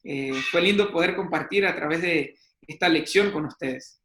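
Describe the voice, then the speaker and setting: A young man with a high, bright voice speaks fluently. His voice rings in an echoey room. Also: normal speed, a little hiss or hum. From El Salvador. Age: young adult. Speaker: student guest.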